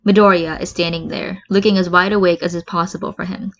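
A woman's voice: fairly low pitch, flat delivery, a little fast, a bit bright. Woman reading a story, slightly muffled. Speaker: fan fiction reader. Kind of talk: expressive amateur story narration.

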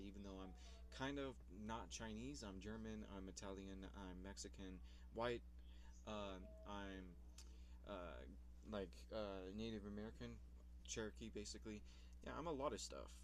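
A man's voice: lowish voice, moderate pace, a little hiss or hum. A young man in casual vlog commentary, rambling about his old videos, swearing casually and laughing at himself.

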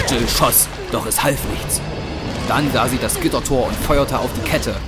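A man narrating a scripted dramatic audio story. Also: mid-range voice. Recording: very loud music and battle effects behind.

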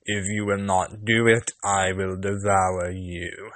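A man voicing a sly, menacing storybook wolf with a deliberate, drawn-out delivery. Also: low voice, fairly even intonation, fairly bright tone, no echo. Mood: demanding. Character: sly, menacing male wolf, a deceitful predator.

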